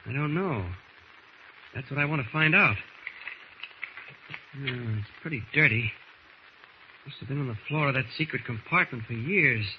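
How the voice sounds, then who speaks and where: An actor playing a calm, patient, intent reporter hero in a 1940s-style radio serial. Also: male voice, mid-range voice, moderate pace, long pauses, close to an everyday voice. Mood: calm, purposeful. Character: calm, kindly grown-up male reporter hero investigating. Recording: muffled, noisy old radio recording.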